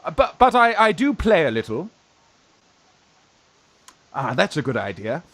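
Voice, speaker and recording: A man in a radio farce playing a young fellow who poses as a gruff, flustered old aunt, halting and blustering. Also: a little high, very animated, fairly bright tone, dry recording, heavily acted, poor audio. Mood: evasive, chatty. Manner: blustering, halting, repeats words. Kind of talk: stage farce radio acting.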